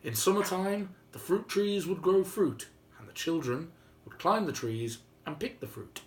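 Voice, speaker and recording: A man telling a story informally and whimsically, with dramatic pauses and emphasis. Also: high-pitched. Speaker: storyteller and video host. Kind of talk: informal improvised oral storytelling. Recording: roomy, faint background noise.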